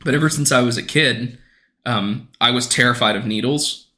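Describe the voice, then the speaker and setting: Bright-voiced man in his twenties. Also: mid-range voice, normal speed. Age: 20s. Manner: earnest, rambling with many restarts and fillers. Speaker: podcast guest. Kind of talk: casual podcast conversation.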